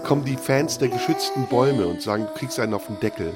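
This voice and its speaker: A man co-hosting a radio show, ranting and sarcastic, his pitch swinging widely as he grumbles through comedic banter. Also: animated, fast. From Turkish heritage. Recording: music playing loud underneath. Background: loud music.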